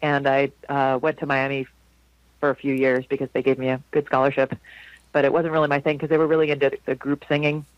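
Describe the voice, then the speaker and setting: A man in his forties from South Florida, a jazz singer with a low, slightly husky voice, telling rambling stories in a casual interview with frequent restarts. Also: flat delivery, fast talker, no room sound, lo-fi sound. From South Florida, USA. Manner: rambling, warm anecdotes with frequent restarts and laughs.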